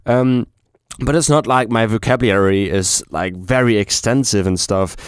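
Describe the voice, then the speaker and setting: Young man, German accent, smooth clear voice, dry close sound, rambling vlog chat. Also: fairly low pitch, normal speed, no room sound. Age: young adult. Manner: rambling, many fillers and restarts. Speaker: YouTuber.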